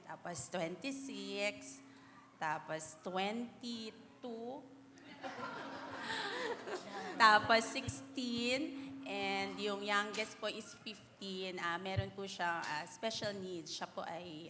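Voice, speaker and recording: A woman of fifty speaks slowly and hesitantly, with a mid-range voice and frequent fillers. Her voice sits in a large hall with long reverb and faint noise. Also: medium pitch, bright, forceful tone. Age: 50s. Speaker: guest, mother of five. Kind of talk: self-introduction.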